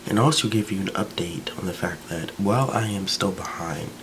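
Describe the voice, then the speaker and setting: A man with a deep voice, reviewing books in a chatty, relaxed vlog full of small fillers. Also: moderate pace, background noise. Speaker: book vlogger. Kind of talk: casual book review vlog.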